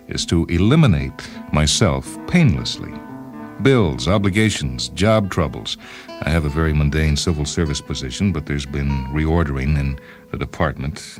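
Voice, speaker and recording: A man reading as a disgruntled, self-justifying inventor, wry and fussily verbose, in a first-person short story. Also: rumbling low. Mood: weary, despairing.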